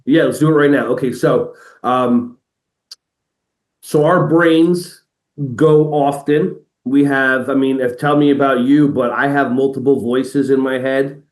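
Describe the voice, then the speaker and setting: Animated man in a roomy-sounding room. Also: mid-range voice, a little slow. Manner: energetic, jumps into playful quoted voices. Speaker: podcast guest, educator and motivational speaker. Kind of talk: animated conversational interview.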